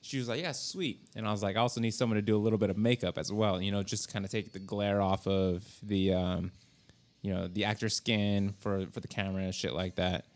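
A man with a fairly low voice hosts a casual podcast. He talks informally and with enthusiasm, dropping swear words as he goes. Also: steady tone, fairly quick. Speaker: podcast host and filmmaker.

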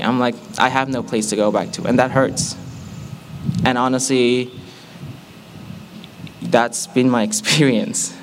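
A man's voice: medium pitch, a little hiss or hum. A young man from Malaysia, a student giving an earnest, candid personal testimony to a group, with playful asides to the audience. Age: young adult.

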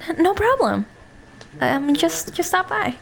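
A woman's voice: very high voice, big pitch swings, unhurried, vocal fry, voice pushed up, full character voice. A woman playing a flustered, smitten young woman in an audio drama, hesitant and earnest, apologetic and self-deprecating. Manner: hesitant, nervous, earnest confession. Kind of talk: audio drama acting, naturalistic dialogue.

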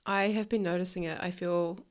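A woman's voice: lowish voice, fairly even intonation, fairly quick. A woman with a New Zealand accent hosts her own podcast in a frank, outspoken monologue. Her voice sounds a little nasal and stuffed up from a recent illness, and she doesn't shy from swearing. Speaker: podcast host, life coach. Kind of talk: casual solo podcast monologue.

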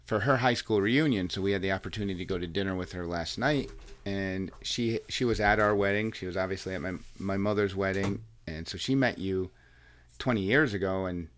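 A man's voice: deep voice, a little fast, fairly bright tone. A man hosting a podcast, chatting with his wife in an earnest, rambling way full of restarts. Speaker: podcast host and coach. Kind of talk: casual chat. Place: home recording room with wife. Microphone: plugged into recording software.

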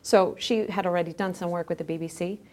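A woman's voice: on the low side, steady tone, quick pace, a little mellow. A middle-aged woman speaking as a scholarly guest in a thoughtful podcast interview. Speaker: guest, theology scholar with drama background.

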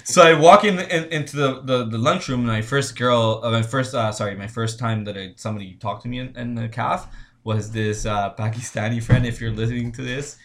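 A man's voice: mid-range voice, fairly quick. A young man with a Spanish accent, a Spanish speaker from Honduras, chatting loosely as a podcast guest and laughing often. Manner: laid-back, laughs often, says bro a lot. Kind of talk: casual chat.

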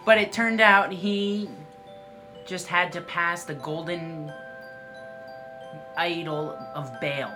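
A woman's voice: lowish voice, fairly even intonation, slow pace, pauses often, music in the background. A woman co-hosting a podcast, joking and interjecting in playful improvised group storytelling. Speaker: podcast co-host.

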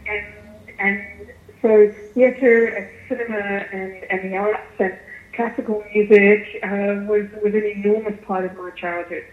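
A woman with a South African accent giving articulate, measured answers as a guest in a radio interview. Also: mid-range voice, steady tone, roomy sound, phone-quality sound. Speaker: arts festival chief executive, interview guest.

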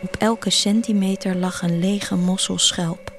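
A woman's voice: mid-range voice, fairly even intonation, a little slow, a little hiss or hum. A woman reading a literary first-person story as the narrator of an audio drama. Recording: music behind the voice. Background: music.

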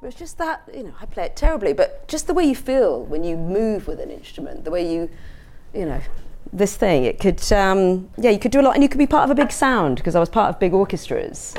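A woman's voice: mid-range voice, big pitch swings, a little fast, a little mellow, soft background music. A middle-aged woman with a southern English accent, giving an informal, reflective personal talk to an audience in a conversational way. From England.

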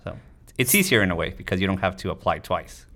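A man's voice: sits low, animated, quick pace, sharp tone. A man from Mexico answering as a physician guest in a conversational interview, explaining things with frequent "you know"s. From Tijuana, Mexico. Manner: explanatory, often tagging points with "you know".

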